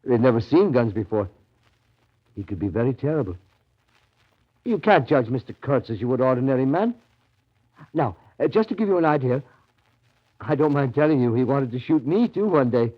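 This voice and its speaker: An actor playing a ragged, awestruck Russian devotee in a 1930s radio drama, with a hesitant, reverent, confiding way of talking. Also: male voice, medium pitch, very animated, normal speed, pauses often. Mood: confiding, admiring. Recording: muffled 1930s radio recording.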